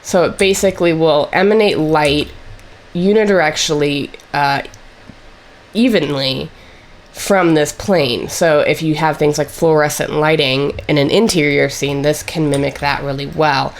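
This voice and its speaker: Low-voiced woman teaching, recovering from a cold. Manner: measured, explanatory, with frequent fillers like "basically". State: getting over a cold. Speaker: course instructor. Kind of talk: screen-recorded software tutorial.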